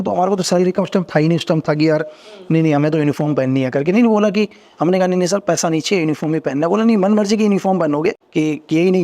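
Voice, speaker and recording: Fast-talking man recounting service life. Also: fairly high pitch, darkish tone. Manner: rapid, earnest, repeats phrases, rising energy when explaining. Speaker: podcast guest, former intelligence agent. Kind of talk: casual interview storytelling.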